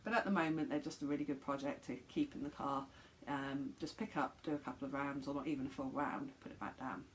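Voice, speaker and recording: A woman with a slightly croaky throat, chatting casually to camera at home as a knitting podcast host, rambling with many ums and restarts. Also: very deep voice, steady tone, fast talker, a little hiss or hum, low-quality recording.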